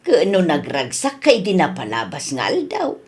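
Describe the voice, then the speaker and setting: A woman's voice: fairly low pitch, wildly swooping pitch, moderate pace, darkish tone. A woman playing a disapproving friend who chides with exasperation, in a comedy radio drama. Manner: chiding, exasperated. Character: scolding female friend, a disapproving voice of conscience.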